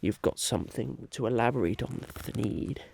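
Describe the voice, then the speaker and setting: Man acting scheming businessman, soft ASMR roleplay, gravelly fry, very slow halting musing, self-important, faint noise on a close mic. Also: mid-range voice. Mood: pondering, pleased. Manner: halting, musing, repeats himself, drawn-out words.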